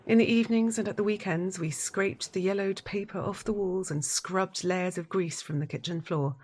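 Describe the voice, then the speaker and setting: Withdrawn, troubled wife narrating her own story for audio drama. Lowish breathy voice, deliberate, inward-looking, pausing for weight. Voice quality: breathy, husky. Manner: introspective, deliberate, dramatic pauses.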